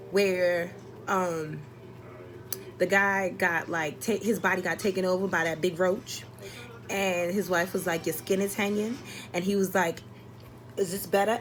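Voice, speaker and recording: Woman, slightly low voice, casual vlog storytelling, exasperated, sweary, comedic, faint background noise. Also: fairly even intonation, normal speed, poor audio. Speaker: vlogger telling a story. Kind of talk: casual chatty personal storytelling.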